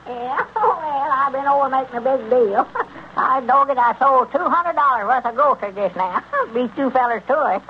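An actor playing a cocky, bragging old country trader with a rural Southern accent in old-time radio comedy. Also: male voice, extremely high pitch, moderate pace. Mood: boastful. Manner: bragging, cackling. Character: boastful, cocky old male rustic trader. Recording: muffled, noisy old radio recording.

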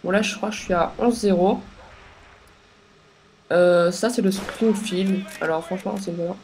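A young woman with a stuffy, congested voice from a cold, talking over her game in a halting way with many pauses and fillers. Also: on the low side, fairly even intonation, darkish tone, a little hiss or hum, low-quality recording. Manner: hesitant, many filler sounds and restarts. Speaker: gameplay commentator. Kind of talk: casual gameplay commentary.

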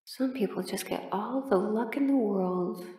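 A woman acting a sleepy, affectionate partner in an intimate audio roleplay, muttering softly with whispers and sighs. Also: mid-range voice, measured pace, echoey room, distant mic, close to an everyday voice. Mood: drowsy, grumbling. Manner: soft, drowsy, muttering to herself with whispers and sighs. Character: sleepy affectionate partner awake in cold bed, playfully grumbling.

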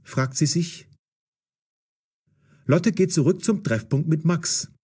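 A man narrating a scripted story for language learners in a deliberate, carefully enunciated, dramatic storytelling style. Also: fairly high pitch, dark tone, slightly rough.

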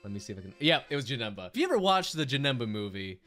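A man's voice: mid-range voice, big pitch swings, very fast, fairly bright tone. A man in his twenties doing casual, self-deprecating, sweary comedic commentary as a video host. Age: 20s. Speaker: video host, trainee voice actor. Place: small bedroom. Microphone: desk mic on an arm, headset worn. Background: music.

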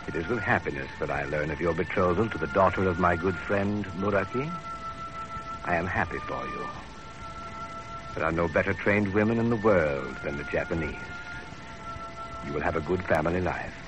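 An actor playing a dignified older father reading out a letter to his son, measured and formal, in 1930s radio drama. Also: low-pitched, lightly acted, low-quality recording. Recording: old radio broadcast with music.

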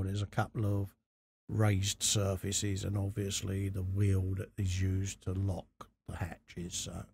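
Deep, gravelly man, casual. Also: mellow, slight background noise. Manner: unhurried, informal, chatty. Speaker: host of a scale model workshop channel. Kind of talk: casual hobby tutorial commentary while working. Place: indoor hobby workbench.

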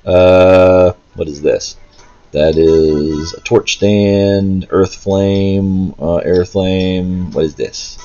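Male gameplay host: lowish voice, informal, unscripted, meandering and hesitant. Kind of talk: casual gameplay commentary. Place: indoors, in a room while playing a handheld console.

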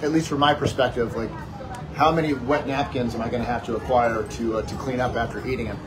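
A man in his forties talks through a fair dessert, circling back over his words with frequent fillers. His voice sits at an ordinary middle pitch. It is recorded on a phone in a small wooden shed, with clear background hiss. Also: fairly even intonation, fast, a crowd in the background. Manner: wordy, hesitant, many fillers and restarts. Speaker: food reviewer. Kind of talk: casual chat.

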